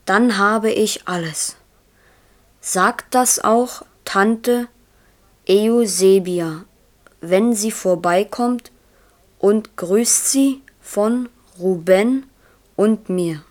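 A dutiful, earnest child in a radio drama. The voice sits at a middling female pitch and speaks slowly and carefully. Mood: earnest, reading aloud. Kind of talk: measured German radio play acting.

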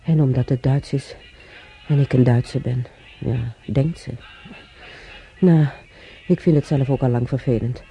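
A man's voice: medium pitch, fairly even intonation, measured pace, mellow, faint music behind, lightly acted, low-quality recording. An actor playing a resigned, wry male hospital patient in a radio drama, muttering and grumbling to himself.

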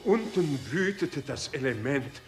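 A man's voice: a little high, lively intonation, unhurried, over music. A man narrating literary prose in a radio drama.

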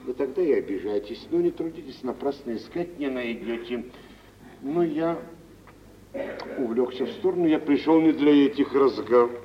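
An actor playing a wealthy middle-aged merchant, blunt and condescending, in vintage Russian stage style for radio. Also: male voice, mid-range voice, expressive, moderate pace, close to an everyday voice. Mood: businesslike, commanding. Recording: dull, noisy vintage radio play recording.

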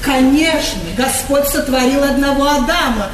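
A woman's voice: high voice, steady tone, moderate pace, lightly acted. An actress playing a frivolous, haughty aristocratic lady in a stage comedy, gushing affectedly with French words sprinkled in. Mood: airy, opinionated. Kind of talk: theatrical stage comedy acting. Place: theatre stage. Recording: echoey theatre stage, distant, hissy 1980s recording.

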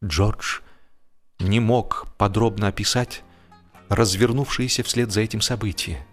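A man narrating a humorous literary story in an expressive, theatrical way, with deliberate drawn-out pauses. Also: on the low side. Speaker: audiobook narrator. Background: music.

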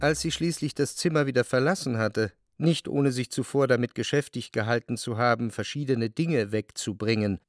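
A man's voice: medium pitch, moderate pace, hardly any pauses, no room sound, natural voice. Actor as a brooding, jealous male narrator. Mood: wry, reflective. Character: jealous, brooding male lover recounting his obsessions. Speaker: first-person narrator. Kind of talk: literary radio drama narration. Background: music.

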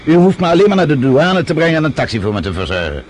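A man's voice: fairly high pitch, very animated, very fast, slight background noise. An actor playing a shrewd, stubborn middle-aged man with a dry, authoritative, sardonic manner in a radio play. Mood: indignant, stubborn. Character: shrewd, self-assured father-in-law, dry and stubborn.

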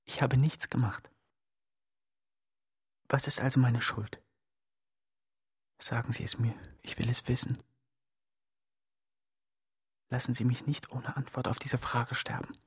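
A man's voice: medium pitch, little pitch movement, unhurried, pauses often, husky. An actor playing an accused man in a radio play, insistently and rhetorically pleading his total innocence. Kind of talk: theatrical radio play monologue, litany-like.

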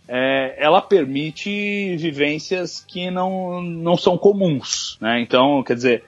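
A man's voice: a little high, slightly rough, dry recording. A man, a journalist guest on a podcast, chatting casually and jokingly, with restarts as he thinks. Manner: thoughtful, joking, with filler restarts. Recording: muffled lo-fi audio.

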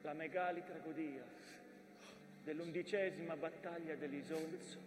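An actor playing an ancient, weary mountain that narrates the devastation of war in a solemn, declamatory theatrical monologue. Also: male voice, on the high side, fairly even intonation, moderate pace, roomy sound, long reverb tail, lightly acted. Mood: solemn, desolate.

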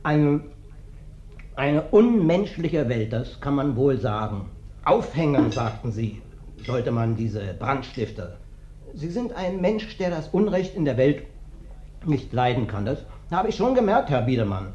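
Wheedling, swooping sly vagrant. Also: male voice, medium pitch, measured pace, a little hiss or hum. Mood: flattering. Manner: flattering, wheedling, theatrical. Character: ingratiating sly male vagrant guest, a former wrestler. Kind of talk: German radio play acting. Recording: dull, roomy old radio recording.

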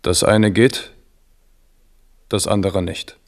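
A man's voice: low-pitched, steady tone, slow pace, gravelly, heavily acted. A proud French king. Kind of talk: 1970s radio play acting.

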